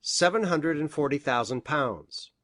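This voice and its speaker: Woman putting on an animated, earnest gentleman doctor. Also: sits low, measured pace, dry recording, studio quality, pitched below the performer's own voice. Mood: earnest, informative. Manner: precise, formal. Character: earnest gentleman doctor, respectable and easily startled.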